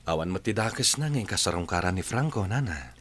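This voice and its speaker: A man with an Ilocano accent playing a gentle, considerate gentleman in a radio drama. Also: sits low, expressive, a little fast, slight background noise. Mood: gentle, concerned. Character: gentle, considerate man consoling an older woman.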